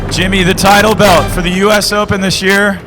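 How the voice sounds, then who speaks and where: A man's voice: high voice, little pitch movement, brisk, over music. A man announcing award winners over a public address system at a ski tournament, in an upbeat emcee manner.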